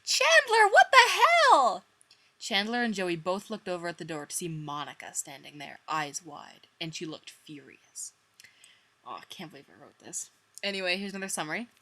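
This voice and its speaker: A woman's voice: deep voice, lively intonation, fairly quick, bright, forceful tone. A young woman reading a story dramatically, theatrical and self-mocking, with wry asides. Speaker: vlogger reading own old fan fiction.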